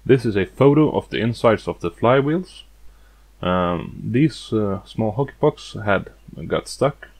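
A man with a fairly low voice walks through a demonstration step by step. He keeps it plain and matter-of-fact, explaining like a hobbyist. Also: expressive, a little slow, a little mellow. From outside the United States. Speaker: hobbyist demonstrating a vintage gadget.

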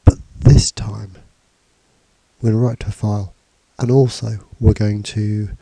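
A man's voice: fairly low pitch, little pitch movement, measured pace, vocal fry, mellow, husky, no echo, poor audio. A man with a cold and a bunged-up voice, casually talking through a programming tutorial over slides with fillers and restarts. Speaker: computing teacher. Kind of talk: screencast tutorial. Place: indoors, voice-over on slides and screen recording.

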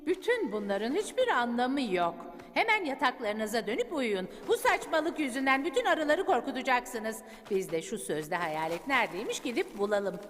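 Cartoon queen bee, stern, formal, expressive intonation, long reverb. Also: fairly high pitch, normal speed, few pauses. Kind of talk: cartoon dubbing. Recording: reverberant hall, music behind.

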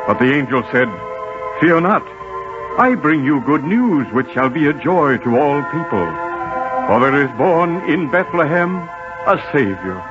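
A man's voice: on the high side, expressive, measured pace. A devout, awestruck shepherd in a 1940s radio drama. He speaks fervently in a raised, soaring voice. Music plays behind him on a lo-fi broadcast recording. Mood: awestruck, joyful. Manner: fervent, exalted. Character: awestruck, devout male shepherd bearing glad news.

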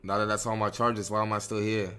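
A man's voice: lowish voice, flat delivery, a little fast, fairly bright tone, clear, resonant tone. A man improvising game roleplay as a suspect in casual street slang, terse and defiant in manner. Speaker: streamer role-playing a suspect.

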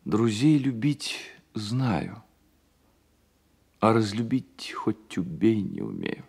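An actor playing a weary, wounded, tender poet of about thirty, brooding and confessional, in a Soviet radio play. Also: male voice, lowish voice, wildly swooping pitch, takes it slowly, vocal fry, darkish tone, breathy edge, heavily acted. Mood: wistful, downcast.

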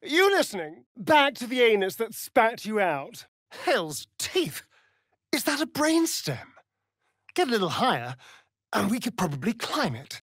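A man's voice: high voice, wildly swooping pitch, measured pace, fairly bright tone, no room sound, studio quality, full character voice. A man playing a vain, sardonic vampire rogue in a fantasy video game, with an arch, flamboyant drawl. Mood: disgusted.

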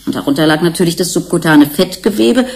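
An actress playing a matter-of-fact researcher in a German radio play, explaining things with precision. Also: female voice, lowish voice, lively intonation, quick pace, creaky, darkish tone. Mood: explaining, sober. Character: matter-of-fact female researcher on an investigating team.

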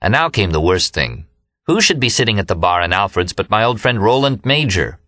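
A man's voice: on the low side, sing-song, fairly quick, a bit bright, no echo. An American man reading a first-person novel as an audiobook narrator, close to the microphone. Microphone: close studio microphone, dry.

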